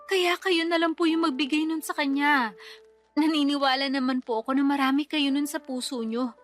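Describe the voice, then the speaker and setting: A woman's voice: high voice, steady tone, moderate pace, faint music behind, close to an everyday voice. A woman playing a weary young mother who justifies herself politely but protestingly in a radio drama. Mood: weary, defensive. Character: weary, defensive young mother answering her own mother. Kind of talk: Tagalog radio drama acting.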